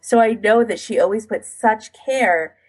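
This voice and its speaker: A woman's voice: a little high, unhurried, breathy edge, low-quality recording. A woman co-hosting a podcast in casual, informal chat, sprinkling her talk with "like". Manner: informal, frequent "like" as filler. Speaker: podcast co-host.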